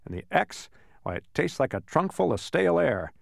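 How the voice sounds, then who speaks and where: A man's voice: a little high, very animated, normal speed, vocal fry, dry recording, studio quality, pitched above the performer's own voice, exaggerated voice. A man voicing a confiding, enthusiastic market seller in a storybook. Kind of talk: animated storybook character reading.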